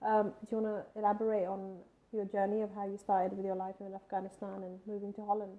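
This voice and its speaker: A woman's voice: mid-range voice, completely flat delivery, quick pace. A woman hosting a talk show and interviewing a guest with friendly, curious questions. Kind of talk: interview chat. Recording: tinny phone-like sound.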